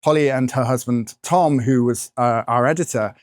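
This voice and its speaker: Croaky-voiced man chatting in an interview. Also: medium pitch, normal speed, dry recording, studio quality. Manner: chatty, with many fillers and hedges. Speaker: podcast co-host and author being interviewed. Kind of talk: conversational interview answers. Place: bookshop event, in front of an audience.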